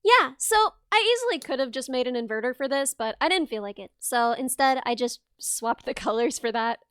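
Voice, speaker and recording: Bubbly high-voiced woman streamer. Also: expressive, fairly quick, clean tone, no room sound, studio quality. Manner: bubbly, laughs often, explains step by step. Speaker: streamer hosting a soldering stream. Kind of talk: casual live-stream chat and explanation.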